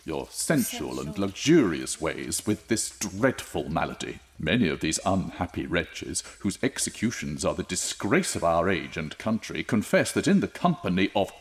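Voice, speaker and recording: A stern Georgian clergyman for a radio play. His very low voice swells and drops widely as he condemns sinners with pompous, declamatory force. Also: very animated, moderate pace, few pauses. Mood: stern, condemning. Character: severe moralising 18th-century clergyman preaching at sinners. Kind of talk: audio drama sermon.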